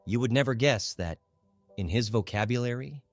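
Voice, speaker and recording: A man's voice: mid-range voice, normal speed, soft background music. A man narrating a literary audiobook in a scripted, dramatic storytelling style.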